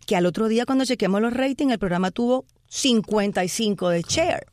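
A woman, an actress and impressionist, telling stories in an interview in an animated way and slipping into voices. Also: on the high side, brisk, no echo, clean studio sound. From Puerto Rico. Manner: animated, slips into voices, many tags like "¿sabes?". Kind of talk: lively storytelling in a chat interview.

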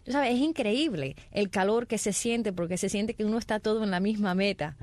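Actress, earnest and enthusiastic guest. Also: female voice, mid-range voice, brisk, a little mellow, no room sound. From Cuba.

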